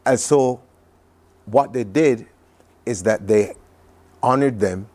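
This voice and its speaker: A man's voice: mid-range voice, slow, a little mellow. A Barbadian man speaking conversationally as a television interview guest, recounting things in an enthusiastic, reflective way. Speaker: studio guest recounting a trip.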